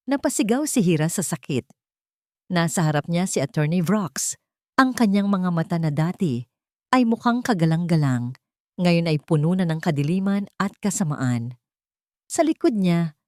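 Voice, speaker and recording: A woman's voice: on the low side, animated, moderate pace, a little mellow, no echo, clean studio sound. A woman narrating a scripted audio drama as its storyteller.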